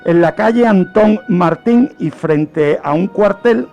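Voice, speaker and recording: A man's voice: high voice, takes it slowly, a little mellow, dull audio, soft background music. A man with an accent from Spain telling history on the radio in an unscripted, didactic way, posing questions and answering them. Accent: Spanish from Spain. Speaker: history segment speaker on a radio programme.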